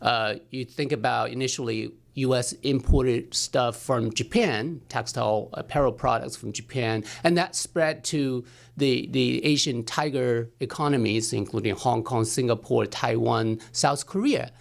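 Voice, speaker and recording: Guy from china talking slowly with lots of restarts, gravelly voice. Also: medium pitch. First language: Chinese. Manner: hesitant, many restarts and fillers. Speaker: anthropology professor guest. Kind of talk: studio interview.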